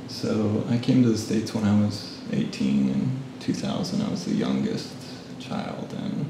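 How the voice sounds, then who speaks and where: Gravelly-voiced man, hesitant and trailing off. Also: on the low side, very animated, measured pace. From Japan. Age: late 30s. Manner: soft, trailing off, hesitant with ums. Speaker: guest photographer. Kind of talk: casual interview. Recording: echoey room, mic at a distance, noise.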